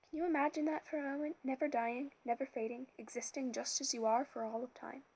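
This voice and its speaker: A woman reading an audiobook, voicing a kindly, learned male teacher who speaks gently and with measured patience. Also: high-pitched, steady tone, slightly rough, pitched above the performer's own voice, rough recording. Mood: gentle, earnest.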